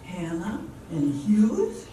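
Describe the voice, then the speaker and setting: A woman's voice: mid-range voice, wildly swooping pitch, extremely slow, warm tone, full character voice. An older woman playing a puzzled, compliant dance partner with a questioning manner in an amateur radio play. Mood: bemused compliance. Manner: questioning, bemused. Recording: echoey room, distant mic, faint noise.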